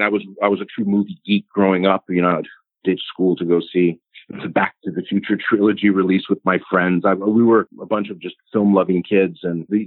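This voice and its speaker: A man's voice: low-pitched, no room sound. A man in his forties from Los Angeles, a film director answering interview questions in an earnest storytelling way, often restarting his sentences. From Los Angeles, United States. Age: 40s. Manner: earnest storytelling, frequent repeated starts. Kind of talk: interview answers over a remote line. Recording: tinny phone-like line, dry.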